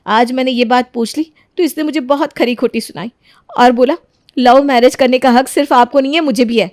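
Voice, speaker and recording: A woman as a high-voiced scheming daughter-in-law. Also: fairly even intonation, a little fast, darkish tone, no room sound, studio quality, close to an everyday voice. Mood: accusing. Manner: accusing, melodramatic, self-righteous. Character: scheming, quarrelsome young married daughter-in-law. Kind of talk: Hindi animated moral-story dramatization.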